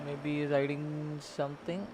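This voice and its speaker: A man's voice: on the high side, flat delivery, normal speed. A man with a Tamil accent giving casual commentary as he plays through a video game. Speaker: gaming streamer. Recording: game music behind.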